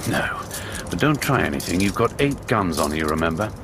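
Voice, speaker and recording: An actor playing a ruthless British army major with an English accent, clipped, dry and commanding, in a radio drama. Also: male voice, low-pitched, big pitch swings, normal speed. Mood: brisk, wry. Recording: radio drama with outdoor vehicle noise.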